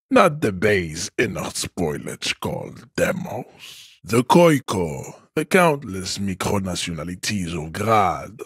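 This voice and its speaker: An actor playing a haughty, hulking supremacist strongman in a video game, speaking in a solemn, pompous, declamatory manner with a husky voice. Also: fairly low pitch, no echo, clean studio sound, exaggerated voice. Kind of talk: scripted game character monologue.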